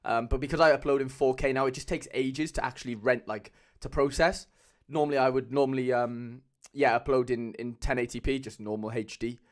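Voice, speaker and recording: A young man with a Cardiff accent chatting casually as a livestream host, loose and rambling with lots of fillers, speaking into a mic on a boom arm at home. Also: steady tone, a little fast, hardly any pauses. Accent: Cardiff Welsh. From Wales. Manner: chatty, loose, many fillers, calls viewers lads.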